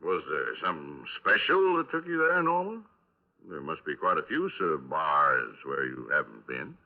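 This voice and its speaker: An actor playing a folksy, patient but probing police lieutenant in a 1950s radio crime drama. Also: male voice, rumbling low, big pitch swings, normal speed, exaggerated voice. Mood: slyly probing. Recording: restored 1950s radio, narrow band.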